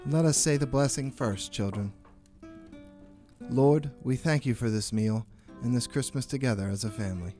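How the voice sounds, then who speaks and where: A humble, devoted family man played by a man in a radio drama, medium in pitch. He speaks gently and earnestly, with faint music underneath. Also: moderate pace, close to an everyday voice. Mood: reverent. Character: kindly, devoted father, humble London clerk. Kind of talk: radio play acting.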